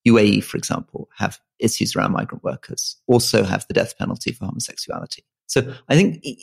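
Gravelly, halting man from Malaysia. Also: lowish voice. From grew up in Malaysia. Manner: earnest, halting, many restarts and repeated words. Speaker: podcast co-host. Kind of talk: casual political chat.

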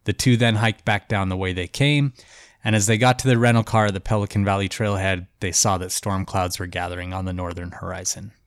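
A man's voice: low-pitched, fairly even intonation, a little fast, clean tone, no echo. Congested man telling a story, dry sound. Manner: loose and chatty, with many "like" and "um" fillers. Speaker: podcast host and storyteller. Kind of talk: casual storytelling chat.

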